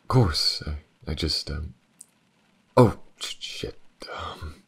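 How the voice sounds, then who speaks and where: A man playing a world-weary but tender detective in an intimate audio roleplay, with halting, shy, stammering speech. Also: animated, fairly bright tone, heavily acted. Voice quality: very deep, gravelly, husky. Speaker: voice actor. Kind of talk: audio roleplay, intimate acting addressed to the listener.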